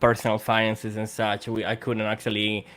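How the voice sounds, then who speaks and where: Young Italian-accented man, halting phrasing. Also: fairly low pitch, monotone, a bit bright. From Italy. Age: young adult. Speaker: podcast co-host. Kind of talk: casual conversational podcast talk.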